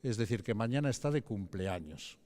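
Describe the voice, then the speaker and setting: Mellow-voiced man introducing a speaker. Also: on the low side, lively intonation, normal speed. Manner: reading with small hesitations and self-corrections. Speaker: presenter introducing a guest speaker. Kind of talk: formal introduction. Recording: reverberant lecture hall.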